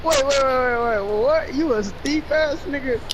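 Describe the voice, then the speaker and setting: A man's voice: extremely high pitch, unhurried, a loud crowd, poor audio. A man chatting casually with a friend, throwing in slangy, profane interjections. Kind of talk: casual chat, reacting to a friend's story.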